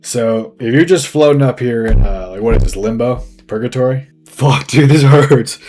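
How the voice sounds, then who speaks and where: Crass dead cartoon fish, male actor: strained throat, sarcastic, halting rambles, swearing, music behind. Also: medium pitch, darkish tone, close to an everyday voice. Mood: confused, joking. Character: crass, rambling recently deceased fish, foul-mouthed and sarcastic. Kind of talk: loose improvised comic character acting. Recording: music under the voice.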